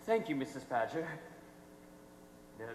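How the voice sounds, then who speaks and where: Young man as a slow, naive wild boy. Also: mid-range voice, big pitch swings, darkish tone. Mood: innocent, puzzled. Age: young adult. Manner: halting, literal, childlike. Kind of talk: high school stage comedy acting. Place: theatre stage, recorded from the audience. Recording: echoey hall, faint noise.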